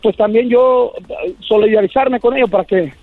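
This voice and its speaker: A man's voice: very high-pitched, moderate pace, a crowd in the background. A middle-aged Mexican man from Michoacán, an activist guest giving impassioned commentary in an interview. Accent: Mexican Spanish. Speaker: migrant shelter founder and activist, interview guest. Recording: muffled tinny phone line, faint music.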